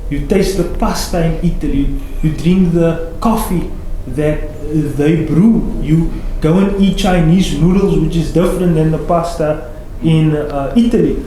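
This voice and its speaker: A man's voice: fairly high pitch, steady tone, dark tone. Reflective man, echoey room. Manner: reflective, many "uh" pauses, poses questions. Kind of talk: discussion moderator. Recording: echoey room with faint noise.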